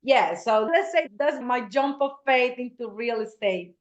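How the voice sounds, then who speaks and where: A woman's voice: on the high side, lively intonation, a little slow. A woman from Colombia, talking earnestly and laughing easily as an interview guest. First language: Spanish. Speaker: guest, real estate agent. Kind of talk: casual interview talk. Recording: echoey, dull-sounding room.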